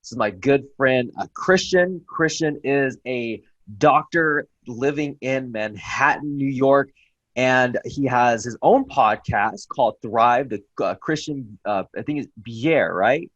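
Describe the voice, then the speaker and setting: A man's voice: mid-range voice, takes it slowly, fairly bright tone, highs cut off. A man hosting a podcast, greeting listeners and asking questions in an upbeat, casual way with frequent fillers. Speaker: podcast host.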